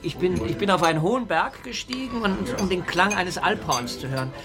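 Thin, wistful traveller for radio play, slightly high voice, halting storyteller, music underneath. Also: male voice, expressive. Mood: reminiscing. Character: Wolfgang, well-travelled thin wandering music lover, wistful. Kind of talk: German radio drama acting.

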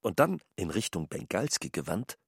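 A man narrates a literary radio play with wry colour. His voice is deep and gravelly. The intonation moves in a lively way, recorded dry. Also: moderate pace, no echo.

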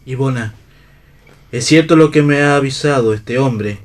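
An actor playing an earnest idealistic hero in a radio play, solemn and declamatory. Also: male voice, mid-range voice, unhurried, lightly acted. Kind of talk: theatrical radio play reading.

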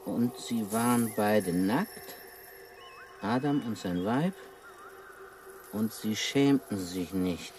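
Ein Schauspieler spielt in einem deutschen Hörspiel einen gelangweilten, verkrüppelten Ex-Raufbold, der zum Leser wurde, schlicht und neckend im Ton. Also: male voice, on the low side, slow pace, pauses often, a little mellow. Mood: musing, reading aloud. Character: crippled former brawler turned bookish, bored, teasing survivor. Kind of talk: German radio play acting. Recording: radio play with clear background noise.

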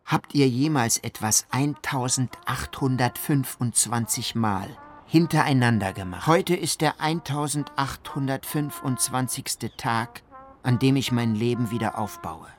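A man's voice: medium pitch, measured pace, natural voice. An actor playing a weary, insistent survivor in a radio drama, listing his losses with emphatic repetition. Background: faint brass music.

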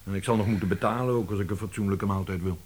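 An actor playing a dry, rueful middle-aged publishing director in a Dutch radio play, deliberate and slightly pompous. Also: male voice, sits low, fairly even intonation, a little mellow, slightly rough. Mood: grumbling. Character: dry, rueful older publishing director, mock-heroic grumbler.

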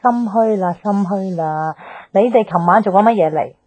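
A woman's voice: medium pitch, moderate pace, a little mellow, slight background noise, lightly acted. An actress playing a cheeky, teasing young best girlfriend in a radio drama, breezy and slangy, with a Hong Kong Cantonese accent. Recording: faint music bed.

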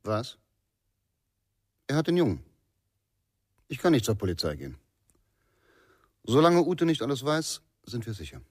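A man's voice: medium pitch, sing-song, measured pace, long pauses, a little mellow, husky, no room sound, clean studio sound. An actor playing a middle-aged, guilty father who talks in an agitated, self-justifying way in a radio drama. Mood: defensive, agitated. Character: guilty, defensive father fighting to protect his existence. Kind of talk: German radio crime drama acting.

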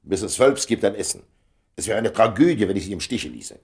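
An actor voices a proud, urbane man of rank in his fifties for a radio drama. His voice is very low and moves with animated, theatrical swings. He sounds worldly, dutiful and a little worn by his years. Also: a little fast, close to an everyday voice. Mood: insistent. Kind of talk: German radio play acting.

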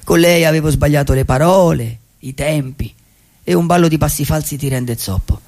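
A man playing a wistful, poetic vagabond dreamer in a radio theatre monologue, reflective and husky, with deliberate pauses. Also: fairly high pitch, a little mellow. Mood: nostalgic, tender. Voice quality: husky, gravelly. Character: wistful, poetic male vagabond dreamer who loves cinema.

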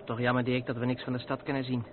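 An actor the playing an eager, inquisitive young trucker's mate in a radio play. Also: male voice, mid-range voice, little pitch movement, quick pace, lightly acted. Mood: curious. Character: Daan, curious young male trucker's mate, eager learner. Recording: tinny, noisy old radio recording.

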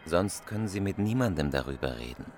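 An actor playing a cool, controlled professional criminal in a German radio crime drama, speaking tersely and matter-of-factly with a commanding manner. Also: male voice, deep voice, expressive, fairly quick. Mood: calm, firm.

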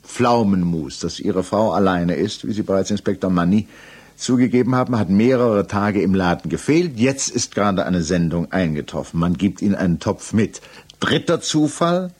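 A sceptical examining magistrate in an old radio crime drama. His low, husky voice lays out each point precisely. He presses his questions with methodical, pointed insistence. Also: animated, moderate pace, darkish tone. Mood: methodical, insistent. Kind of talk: German 1960s radio play acting.

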